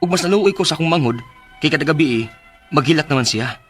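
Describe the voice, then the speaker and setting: A man acting a worried, higher-voiced caring older brother. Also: animated, fast talker, soft background music, close to an everyday voice. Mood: sorrowful narration. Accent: Cebuano Filipino. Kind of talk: Filipino radio drama acting.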